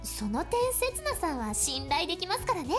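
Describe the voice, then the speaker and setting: A cheerful young guide for a visual novel, polite and bubbly. She talks very fast in a very high, lively voice. Faint music plays underneath. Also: exaggerated voice. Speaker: station support staff in visual novel. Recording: game audio with faint music.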